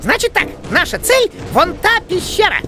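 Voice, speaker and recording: A woman voicing an excitable, bold young male rabbit in an animated cartoon, with an emphatic, theatrical delivery. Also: very high-pitched, normal speed, over music. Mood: boastful.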